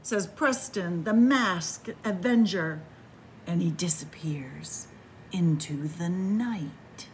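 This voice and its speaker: A middle-aged woman reading a picture book aloud for children, dramatic, with hushed whispers and drawn-out words. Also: on the low side, a little hiss or hum. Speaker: library story time host. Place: small library room with bookshelves, indoors.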